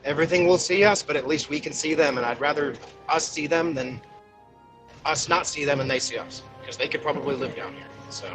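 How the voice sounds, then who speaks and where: A man's voice: fairly high pitch, brisk, over music, poor audio. A man playing a tabletop game, chatting casually as he explains tactics and advises the others. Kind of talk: casual game strategy chat.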